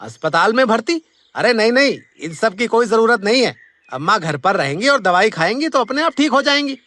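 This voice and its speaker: A man's voice: sits high, big pitch swings, a little fast, pushed and bright, dry recording, exaggerated voice. A man voicing a callous, irritable grown son in a cartoon, dismissive and scolding. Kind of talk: Hindi moral-story cartoon dubbing.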